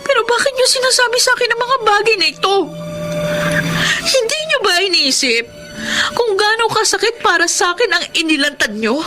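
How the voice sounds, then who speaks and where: A distraught wronged daughter in a radio drama, speaks high with big swings of pitch, tearful and indignant. Loud music plays underneath her. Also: animated, a little fast. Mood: hurt, tearful. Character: distraught wronged daughter and wife, refusing to believe. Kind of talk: Tagalog radio drama acting.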